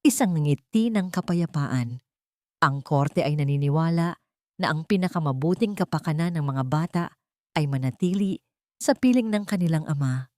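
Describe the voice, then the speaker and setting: A Filipino-accented woman tells a sentimental drama story from a script. Her voice sits on the low side for a woman. The sound is dry, with very little room around it. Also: lively intonation, normal speed, dark tone, dry recording.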